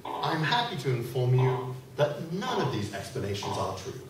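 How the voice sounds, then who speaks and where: A man's voice: mid-range voice, very animated, normal speed. A man playing an eccentric, patronising doctor in a comic radio play. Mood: obliging. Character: eccentric male doctor, smug, patronising, sourly misogynistic. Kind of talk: comic radio play acting before an audience. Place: convention room, live stage performance. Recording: echoey distant live room recording, background noise.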